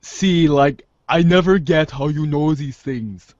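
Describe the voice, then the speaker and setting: A man playing a laid-back, too-cool teenage boy with a slangy, halting, nonchalant drawl in a fan audio drama. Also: on the high side, a little mellow, dry recording. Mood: casual, hesitant. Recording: close, dull lo-fi sound.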